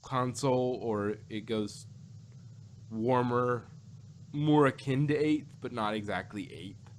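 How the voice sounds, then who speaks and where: A man chatting casually as a podcast host, rambling and halting, often repeating himself as he gives his opinions. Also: mid-range voice, slight background noise. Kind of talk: casual opinionated fan chat.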